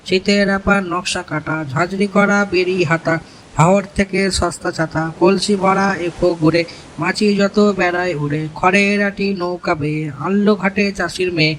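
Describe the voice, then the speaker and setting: Man voicing a high-pitched village cartoon boy. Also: fairly even intonation, moderate pace, a bit bright, a little hiss or hum, rough recording. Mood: studious reciting. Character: dutiful, chatty village boy, gentle with his animal. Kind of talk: cartoon dialogue and verse recitation. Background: background music.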